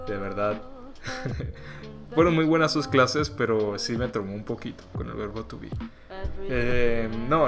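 Man, young adult, podcast host, casual joking talk, music playing clearly behind. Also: medium pitch. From Mexico. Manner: chatty and joking, acts out quoted voices.

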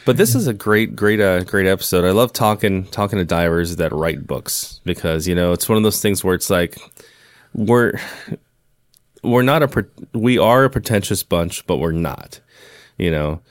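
A man's voice: low voice, normal speed, no room sound. A man hosting a podcast, chatting casually and jokily with his guest. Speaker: podcast host. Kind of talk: casual chat, joking.

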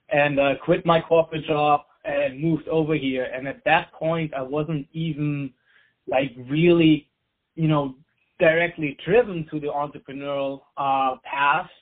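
German man, business founder, relaxed and rambling, slightly high voice, tinny phone sound. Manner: relaxed, heavy use of "like" and "you know". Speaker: company founder, guest. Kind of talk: casual interview chat.

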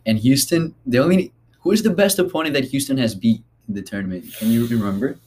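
A man hosting a casual sports talk, excited about the games and turning questions to the others. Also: mid-range voice, quick pace, slight background noise. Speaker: host.

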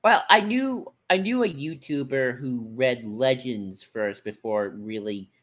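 A man in a casual podcast discussion, talking in a halting, rambling way and often circling back to rephrase. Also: medium pitch, expressive, fairly bright tone. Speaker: podcast co-host.

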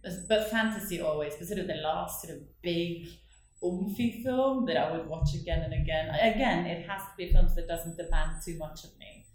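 A woman's voice: fairly low pitch, moderate pace, slight background noise. Echoey Norwegian woman, rambling and laughing. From northern Norway. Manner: rambling, self-correcting, laughs often. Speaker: artist guest. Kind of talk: casual interview chat.

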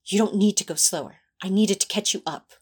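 A woman's voice: mid-range voice, brisk, a little mellow, slightly rough, natural voice. A woman voicing a tender, reassuring male angel in an audio fan fiction drama, speaking earnestly.

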